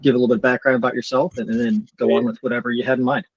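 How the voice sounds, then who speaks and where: A man moderating a group video call, brisk and organizing who speaks next. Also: medium pitch, little pitch movement, husky, dry recording. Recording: dull dry lo-fi call audio.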